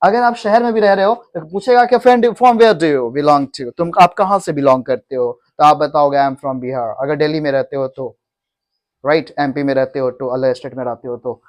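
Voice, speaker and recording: High-voiced teacher drilling English phrases. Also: male voice. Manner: repeats phrases slowly for students to echo. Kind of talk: classroom English lesson in Hindi. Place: classroom.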